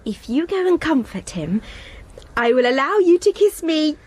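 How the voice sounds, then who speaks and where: High, animated, coquettish young sweetheart. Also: female voice, moderate pace. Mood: teasing, bossy. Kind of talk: comic radio drama acting. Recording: faint noise, radio broadcast.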